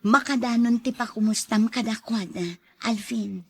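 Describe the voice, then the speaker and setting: A woman's voice: fairly high pitch, fairly even intonation, normal speed, darkish tone, slightly rough. A woman playing a kindly, reassuring go-between in an Ilocano radio drama, speaking in a friendly manner.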